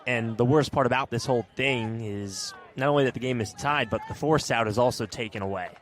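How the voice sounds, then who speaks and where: A man's voice: mid-range voice, fairly quick, slight background noise. A man with a mid-range voice provides live color commentary on baseball. He explains the plays analytically, linking his thoughts into long, connected sentences.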